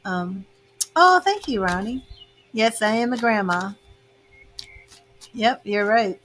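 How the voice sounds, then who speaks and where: An American woman in her fifties hosting a live tarot reading, chatty and casual, laughing often and filling pauses with "um". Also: mid-range voice, big pitch swings, fairly bright tone, a little hiss or hum. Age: 50s. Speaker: tarot reader hosting a livestream. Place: home with grandchildren and birds in earshot.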